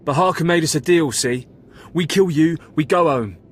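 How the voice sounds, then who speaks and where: A man's voice: fairly high pitch, normal speed, husky. An actor voicing a menacing male thug, a hired killer who talks in a taunting, cocky way.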